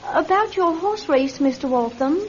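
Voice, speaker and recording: A woman's voice: sits high, lively intonation, moderate pace, slight background noise. An actress playing a proper, anxious, hard-up British mother in a classic radio drama, polite and genteel yet firm. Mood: hesitant, intent. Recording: muffled radio recording.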